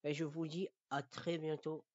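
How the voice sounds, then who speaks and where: Halting male teacher, slightly dull audio. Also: on the high side, little pitch movement, a little mellow, dry recording. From France. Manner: halting, repeats words and phrases; didactic, checks understanding. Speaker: Quran recitation teacher. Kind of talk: informal spoken lesson addressed to learners.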